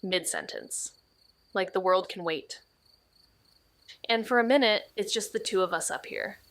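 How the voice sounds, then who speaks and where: An actress playing a young woman sleuth who narrates softly and reflectively in an audio drama. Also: mid-range voice, lively intonation, a little slow. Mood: hushed reflective. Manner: soft, hushed and reflective. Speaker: first-person narrator.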